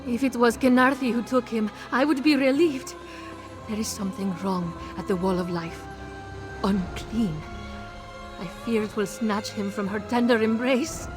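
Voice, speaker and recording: A fairly high female voice from a woman acting as a distraught cat-folk wife in a fantasy game. She is anxious and urgent, with clear music behind her. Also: moderate pace, natural voice. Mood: frightened, urgent. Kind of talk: scripted fantasy game dialogue. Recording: prominent background music.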